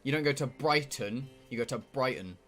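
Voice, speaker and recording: A man's voice: medium pitch, expressive, moderate pace, fairly bright tone, faint music behind. A man from England with a southern English accent, chatting casually and bluntly on a livestream, rambling and swearing freely. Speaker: game streamer.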